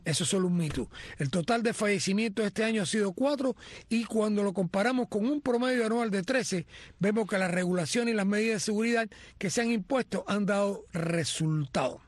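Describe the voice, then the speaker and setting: A man's voice: high voice, a little fast, no echo. A man co-hosts a sports show, moving between panel talk and recap. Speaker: sports show co-host covering boxing.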